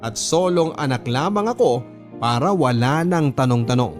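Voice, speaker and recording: A Filipino man narrating a personal letter as a radio storyteller, measured and sincere. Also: medium pitch, expressive, lightly acted. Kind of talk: first-person scripted dramatic narration of a letter. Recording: music bed behind the voice.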